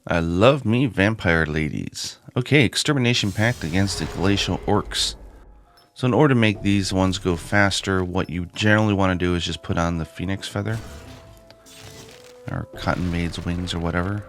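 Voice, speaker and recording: A man hosting a let's play, chatting casually with plenty of ums and uhs, his voice raspy and deep in the morning. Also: normal speed, a bit bright, soft background music. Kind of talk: casual gameplay commentary.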